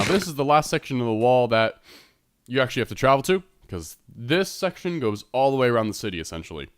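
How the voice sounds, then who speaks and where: A man with a stuffed-up, congested nose, chatting his way through a tour of a Minecraft build and correcting himself as he goes. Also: mid-range voice. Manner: rambling, self-correcting, chatty. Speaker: Minecraft server let's player. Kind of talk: casual gameplay commentary.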